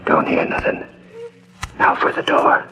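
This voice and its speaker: An actor playing a sneaking masked burglar in 1940s radio style, muttering and whispering in folksy American speech. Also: male voice, a little slow, noisy background, natural voice. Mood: furtive, tense. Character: sneaking masked male burglar, muttering, jittery, rough-spoken. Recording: old 1940s radio transcription disc.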